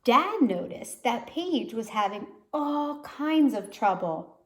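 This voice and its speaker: A woman reading a children's storybook aloud like a teacher, pausing to explain words and put questions to listeners. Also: fairly high pitch, big pitch swings. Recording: roomy, echoey sound.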